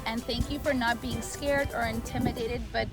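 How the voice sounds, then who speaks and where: Woman interviewer, encouraging, slightly high voice, music under. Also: fairly even intonation, normal speed. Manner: encouraging, affirming. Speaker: interviewer, board member. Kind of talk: event interview.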